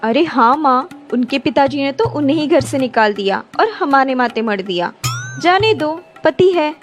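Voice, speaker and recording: A woman's voice: high-pitched, animated, moderate pace, over music. A woman voicing a lazy, short-tempered, complaining grown daughter in a cartoon story. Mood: grumbling.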